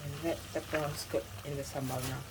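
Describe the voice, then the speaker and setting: A woman's voice: sits low, flat delivery, fairly quick, very noisy. A middle-aged woman hosting a home cooking demonstration, giving chatty step-by-step instructions with frequent asides. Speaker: cooking channel host. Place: home kitchen.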